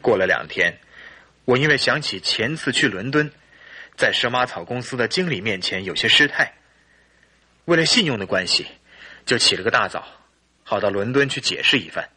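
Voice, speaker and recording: Husky, forceful suspicious husband. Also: male voice, mid-range voice, animated, moderate pace, pauses often, heavily acted. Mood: troubled. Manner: earnest, emphatic, theatrical delivery. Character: suspicious, anguished husband confiding in a detective. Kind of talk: Mandarin radio drama acting and storytelling.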